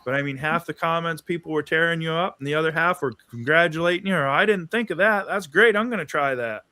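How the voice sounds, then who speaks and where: A man's voice: on the high side, fast talker, bright, forceful tone, no room sound. A man from Maine telling stories in a casual livestream chat, easygoing and folksy. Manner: easygoing storyteller, folksy asides. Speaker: guest.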